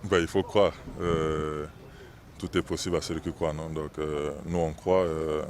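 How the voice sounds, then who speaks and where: A man's voice: rumbling low, little pitch movement, a little slow, a little mellow. A young man from the Republic of the Congo, a professional basketball player, answering interview questions hesitantly, with many fillers and restarts.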